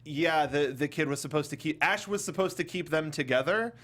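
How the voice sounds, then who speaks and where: A man with a slightly high voice hosts a casual podcast discussion. He rambles through his points in an animated, joking way. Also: fairly quick. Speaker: podcast host.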